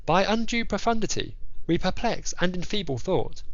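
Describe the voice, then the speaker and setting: A man's voice: high voice, expressive, moderate pace, no echo, muffled recording, pitched above the performer's own voice. A man playing a brilliant, disdainful detective who lectures with precise, analytical delivery in an audio drama. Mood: disdainful, lecturing. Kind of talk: audio drama character speech.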